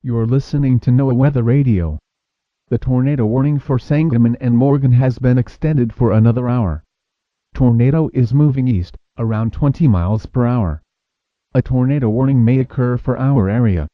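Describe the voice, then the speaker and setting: A man's voice: medium pitch, moderate pace, dry recording. A man reading a scripted emergency weather bulletin as a radio announcer. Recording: dull lo-fi weather radio sound.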